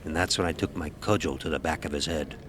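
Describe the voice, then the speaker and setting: An actor playing an old-time sailing-ship officer, telling a sea tale in salty, archaic nautical speech for an audio drama. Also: male voice, rumbling low, fast talker, fairly bright tone, slight background noise. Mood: storytelling. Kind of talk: dramatic first-person audio horror narration.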